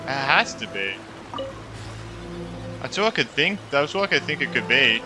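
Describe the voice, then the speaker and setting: A man's voice: mid-range voice, big pitch swings, normal speed, music in the background. A man doing casual gameplay reaction commentary as a streamer, blunt and joking, with swearing.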